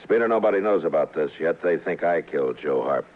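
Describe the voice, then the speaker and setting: A man's voice: very deep voice, lively intonation, brisk. An American man playing a stern, weary Old West marshal in a radio western, speaking tersely and with authority. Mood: cold, stern. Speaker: radio drama lead and narrator. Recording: narrow-band old radio recording with music.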